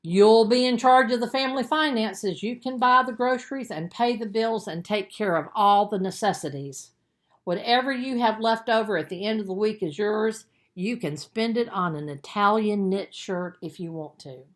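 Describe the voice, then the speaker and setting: A woman's voice: mid-range voice, normal speed, voice pushed up, close to an everyday voice. Lady reading as a mom laying down the law to her teen, patient but firm, emphatic, kids storybook. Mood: firm, dealmaking. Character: firm, patient mother advising her teenage son.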